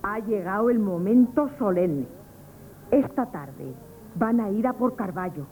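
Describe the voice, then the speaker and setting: An actress plays a plucky young woman plotting in a Spanish radio comedy, with a Spanish accent. She is feisty and conspiratorial, with a mellow, medium-pitched voice. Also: animated, unhurried, natural voice, poor audio. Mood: urgent, practical. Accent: Spanish, from Spain. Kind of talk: 1970s Spanish radio comedy theatre acting.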